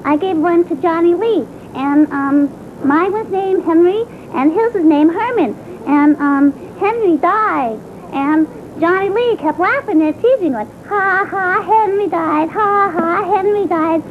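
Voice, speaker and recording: Whiny little schoolgirl, squeaky high voice, indignant, rambling, childlike, character monologue, tinny recording. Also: lively intonation, measured pace, hardly any pauses. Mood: aggrieved. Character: sulky, aggrieved young schoolgirl, naive and foul-mouthed. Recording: tinny phone-like band, faint rumbling noise.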